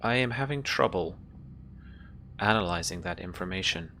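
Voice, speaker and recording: Man voicing a deep, formal ship's computer. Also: animated, bright, forceful tone, slight background noise, exaggerated voice. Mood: impersonal. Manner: measured, clipped, impersonal phrasing. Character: formal, emotionless ship's computer called Mother. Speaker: game master. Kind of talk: tabletop roleplaying in character.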